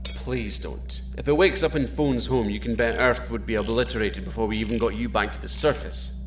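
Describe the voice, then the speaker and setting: An actor playing a calm male spaceship captain with a dry sense of humor, in an audio drama. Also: mid-range voice, a little fast, soft background music, close to an everyday voice. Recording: noisy background ambience.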